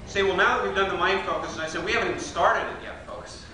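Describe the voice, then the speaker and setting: A man's voice: high-pitched, fast, a loud crowd, very noisy. A man leading a live workshop, telling jokes and teasing people in the room. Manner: playful storyteller, teases audience members. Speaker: workshop leader. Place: large hotel meeting room. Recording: echoey room recorded from far off.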